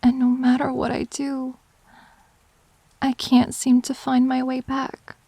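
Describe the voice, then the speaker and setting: A woman playing a grieving, apologetic girlfriend who speaks haltingly and tenderly in an intimate audio drama. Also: high-pitched, monotone, mellow, breathy edge, no room sound, full character voice. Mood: grieving, ashamed. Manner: halting, tender, confessional delivery.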